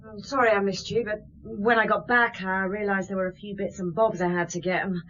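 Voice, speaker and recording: A woman's voice: mid-range voice, fairly even intonation, a little fast, a bit bright, muffled recording, slight background noise, lightly acted. An actress playing a prickly, defensive married woman in a radio drama, curt and indignant under police questioning. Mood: impatient.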